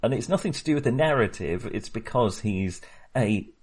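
A man's voice: fairly low pitch, lively intonation, moderate pace, a little mellow, slightly rough. A man co-hosting a podcast, talking casually and hesitantly as he discusses films. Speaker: film discussion podcast co-host.

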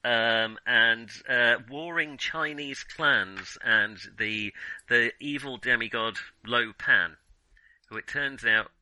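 A man's voice: mid-range voice, slow, sharp tone, no echo, low-quality recording. A man hosting a podcast, chatting casually about films in a wry, self-deprecating, jokey way. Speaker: podcast host. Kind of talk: casual film discussion with playful scripted intro.

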